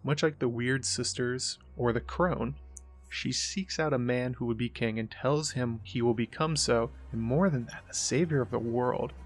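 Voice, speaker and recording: A man's voice: medium pitch, normal speed, soft background music. A man with a mid-range voice hosts and narrates a scripted essay. He delivers it as prepared commentary, explaining his points in full, composed sentences. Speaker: host of a book and mythology analysis show.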